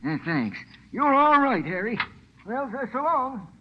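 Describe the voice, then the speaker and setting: A high-pitched, rambling American old rummy. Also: male voice, sing-song, unhurried, slight background noise, heavily acted. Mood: sheepish, grateful. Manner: folksy, rambling, ungrammatical. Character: rambling, friendly old drunken dockside sidekick. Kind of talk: 1940s radio acting. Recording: muffled old radio recording.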